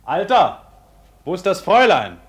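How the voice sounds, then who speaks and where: An actor playing an anguished noble young outlaw in a radio drama, brooding and declamatory. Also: male voice, sits high, sing-song, a little slow, slightly rough, exaggerated voice. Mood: guarded. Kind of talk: classic German radio drama acting.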